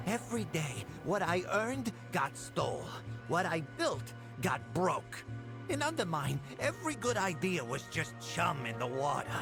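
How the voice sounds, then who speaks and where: Gravelly streetwise goblin. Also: male voice, a little high, heavily acted. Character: scrappy male goblin ally, streetwise and bitter. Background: game music.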